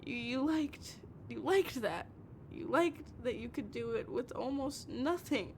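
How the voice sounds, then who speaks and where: A woman playing a drunk, heartbroken, obsessive young lover in an intimate audio roleplay, tearful and halting, stammering and repeating herself. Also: on the high side, expressive, darkish tone, a little hiss or hum. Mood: bitter, agitated.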